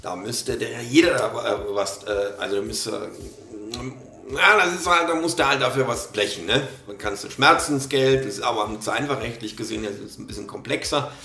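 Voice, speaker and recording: Gravelly man with a cold, hesitant. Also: mid-range voice, moderate pace, few pauses, pushed and bright, reverberant. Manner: hesitant, many fillers and restarts. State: slightly hoarse from a cold. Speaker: reaction video host. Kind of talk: casual commentary on a video. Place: small room at a desk.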